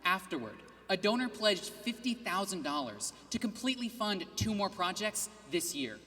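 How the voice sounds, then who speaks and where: A man giving a rehearsed student team presentation in a steady, scripted way. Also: high voice, moderate pace, big-room sound.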